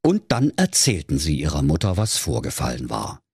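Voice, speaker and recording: A man's voice: extremely low pitch, wildly swooping pitch, normal speed, darkish tone, breathy edge, no echo, studio quality. A man narrating a comic fairy tale as a storyteller, in a dramatic storybook manner. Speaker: storyteller, podcast host.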